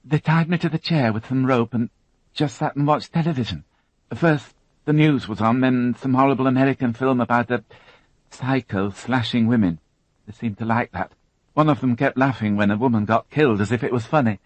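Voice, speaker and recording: A man voicing a frightened young woman who witnessed a crime, tearful and halting, repeating her words as she talks. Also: mid-range voice, dry recording, pitched above the performer's own voice, close to an everyday voice, poor audio. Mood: shaken.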